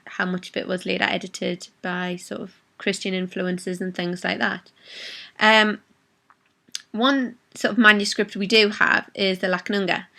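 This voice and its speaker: Woman, casual video intro, chatty with ums, bright, lowish voice. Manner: chatty, many ums and restarts. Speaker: vlogger.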